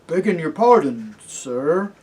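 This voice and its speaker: Man doing a humble, hesitant cabbie, raised pitch. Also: big pitch swings, slow pace, warm tone. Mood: flustered, humble. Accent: Cockney shading into English country. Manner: hesitant, deferential, plain-spoken, stammering. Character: humble, flustered working-class cabbie made king. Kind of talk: storybook character reading.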